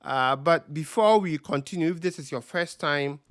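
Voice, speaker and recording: A man with a Ghanaian accent hosting a live stream Q&A over a video call, talking slowly with many ums and uhs. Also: fairly high pitch, dry recording, studio quality. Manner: slow, relaxed with frequent ums and uhs. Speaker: host.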